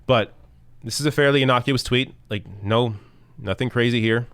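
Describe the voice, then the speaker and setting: A man hosting a live political commentary stream, arguing bluntly and reading tweets aloud, with a voice that is going hoarse. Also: medium pitch, normal speed, a bit bright, dry recording. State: losing his voice.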